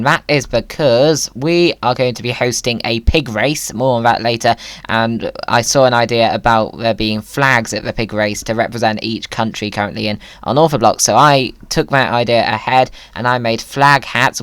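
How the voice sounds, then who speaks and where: A man's voice: mid-range voice, a bit bright, clear, resonant tone, dry recording. A man giving playful gaming commentary in a whimsical, silly manner. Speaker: video creator, gamer.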